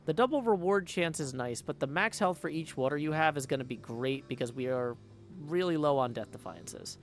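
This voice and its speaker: A man with a fairly high voice talks through a video game as a streamer, reading on-screen text and reasoning out his choices in a casual way. Game music plays behind him. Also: brisk. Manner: thinks aloud, reads game text out. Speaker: game streamer practicing voice acting. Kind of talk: casual live game commentary, reading aloud.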